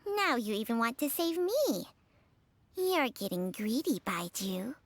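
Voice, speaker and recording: A languid ancient snake spirit. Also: female voice, on the high side, sing-song, a little slow, no echo. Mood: teasing. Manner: languid, teasing, world-weary. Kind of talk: video game voice acting.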